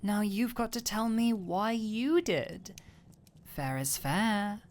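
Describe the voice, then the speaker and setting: Soft, unhurried alchemist played by a woman. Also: medium pitch, lively intonation. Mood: teasing, fond. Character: gentle anxious fantasy alchemist, teasing and tender. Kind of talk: roleplay audio drama, intimate one-sided dialogue.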